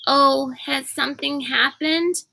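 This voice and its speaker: A nervous, sleepy boy from a children's storybook, as a woman reads him out. His speech is hesitant and pitched higher, with a bright edge. The recording is dry and close. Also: steady tone, slow pace, vocal fry, no room sound, full character voice, rough recording. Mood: groggy, curious. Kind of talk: children's storybook read aloud.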